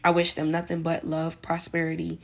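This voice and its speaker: A woman's voice: deep voice, completely flat delivery, fairly quick, creaky. A young adult woman with a New York accent, chatting casually and directly to her viewers in an advice vlog, with lots of "like" fillers. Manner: chatty, speaks straight to viewers, many "like" fillers. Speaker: vlogger.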